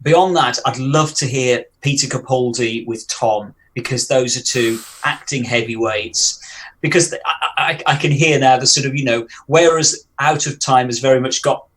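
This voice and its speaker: Man, mid-pitched voice, halting, thoughtful, podcast co-host. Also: fairly bright tone, rough recording. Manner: thoughtful, hesitant, restarts often. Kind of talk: casual podcast discussion.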